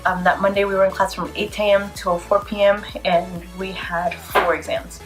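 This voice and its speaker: A woman's voice: mid-range voice, little pitch movement, moderate pace, music in the background. A woman in her twenties, congested from a cold, chatting casually and a bit tiredly to camera as a vlogger. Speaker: vlogger, PA student. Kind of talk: casual vlog talk to camera.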